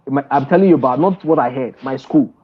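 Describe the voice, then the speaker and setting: A man's voice: mid-range voice, fast, slightly rough. A middle-aged man, a guest on a video call interview, talking emphatically with many restarts. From Nigeria. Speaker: guest trader and mentor. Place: indoor room, seated in an office chair. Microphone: earphone headset on a video call. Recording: dull lo-fi video call audio.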